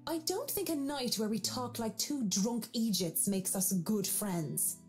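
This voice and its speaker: A woman voicing a sharp-witted, principled young woman in a game, speaking in a dry, reproachful way. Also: a little high, expressive, measured pace, faint music behind, voice pushed up. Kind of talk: visual novel character voicing.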